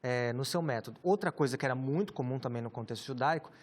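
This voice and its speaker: A man with a Brazilian Portuguese accent, a pastor teaching about the Bible in a measured, explanatory way, often ending phrases with a questioning tag. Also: medium pitch. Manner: measured, explanatory, with many rhetorical tags.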